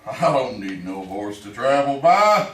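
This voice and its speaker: An actor playing a sly, mocking backwoods killer with an Ozark hillbilly accent, drawling and unbothered, in a 1950s Western radio drama. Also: male voice, mid-range voice, sing-song, a little slow, fairly bright tone. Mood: sly, cocky. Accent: American Ozark hillbilly. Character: brazen, sly male backwoods feudist bent on killing. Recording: roomy, distant, hissy old radio recording.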